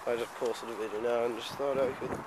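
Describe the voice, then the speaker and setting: A teenage boy rambles casually into a handheld camera as he walks outside, with very heavy noise around him. His voice sits at a medium male pitch. He is offhand and hesitant, drifting from one half-thought to the next. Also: fairly even intonation, fast talker. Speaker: amateur vlogger. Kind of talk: casual rambling walking vlog. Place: outdoors on a residential street, walking. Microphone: handheld camera microphone. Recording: handheld camera outdoors, loud street noise.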